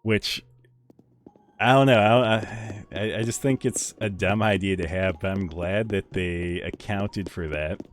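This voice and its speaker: A young man with a deep voice chatting casually with viewers while he plays a game on a live stream, rambling in a relaxed way. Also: a little slow. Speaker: livestreamer. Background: faint game music.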